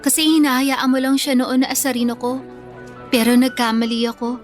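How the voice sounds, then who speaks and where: A meek, put-upon Filipino schoolgirl, acted by a woman in a radio drama. Her voice is high and youthful, with an earnest, pleading manner. Faint music plays underneath. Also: little pitch movement, brisk, close to an everyday voice. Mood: shy, earnest. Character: meek, hurt bullied schoolgirl who loves pocketbook romances.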